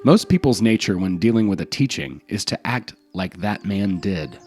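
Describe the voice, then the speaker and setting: A man's voice: lowish voice, lively intonation, moderate pace, a little mellow. A man narrating scripted folk tales as a storyteller.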